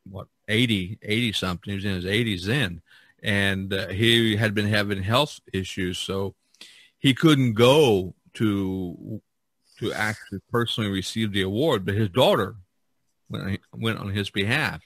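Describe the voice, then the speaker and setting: Man, low voice, podcast host, casual chat, halting and rambling, dry close sound. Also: fairly bright tone, no echo. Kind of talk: casual chat about painting.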